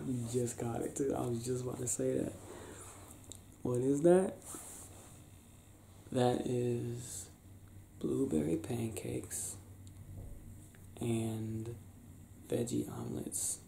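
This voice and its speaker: A young man doing an affectionate ASMR boyfriend roleplay, soft-spoken, halting and playful, as if chatting in bed. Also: medium pitch, vocal fry. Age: young adult. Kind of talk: ASMR boyfriend roleplay, improvised intimate chat. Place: small indoor bedroom, lying on a bed. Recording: roomy bedroom sound with faint hiss.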